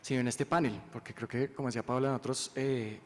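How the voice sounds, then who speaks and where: A man's voice: mid-range voice, fairly even intonation, quick pace, a little mellow, slight background noise. A man from Colombia introducing himself on a panel, enthusiastic and often restarting his sentences. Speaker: panelist, co-director. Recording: reverberant hall with faint ambience.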